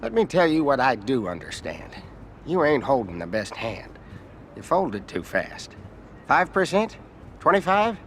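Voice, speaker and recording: A cocky middle-aged american senator, folksy and condescending, game cutscene, some noise behind. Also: male voice, mid-range voice, sing-song, unhurried. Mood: smug, probing. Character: blunt, arrogant, greedy middle-aged male American senator. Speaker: senator character in a crime game. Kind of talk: dramatic video game cutscene acting.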